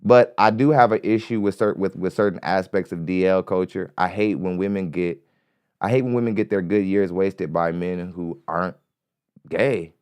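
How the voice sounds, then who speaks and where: Male podcast host, light-voiced, rambling and profane, smooth tone, close dry mic. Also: low voice, steady tone, moderate pace, darkish tone, no echo. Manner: rambling, repeats himself, curses freely. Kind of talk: casual commentary on a clip. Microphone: studio mic.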